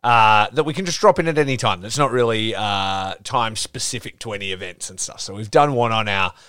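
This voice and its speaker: A man's voice: fairly low pitch, fairly quick, sharp tone, clear, resonant tone, dry recording, studio quality. A man hosting a podcast, teasing his co-host in casual banter over a video call. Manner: joking, teasing, swears casually.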